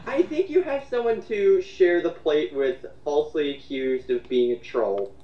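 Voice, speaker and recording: A man giving casual, mocking commentary and reading out comments in a derisive, sweary, halting way. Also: on the high side, expressive. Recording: muffled roomy recording, distant mic.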